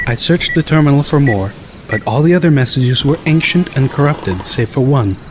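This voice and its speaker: A man narrating a first-person story as an audiobook, reading in a measured, dramatic way. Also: medium pitch, lively intonation, slight background noise. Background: music.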